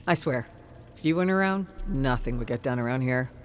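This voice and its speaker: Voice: mid-range voice, expressive, fairly quick, faint music behind, close to an everyday voice. A narrator doing a dry, controlled male colleague. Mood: casual, friendly. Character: curt, dismissive male colleague in a space colony.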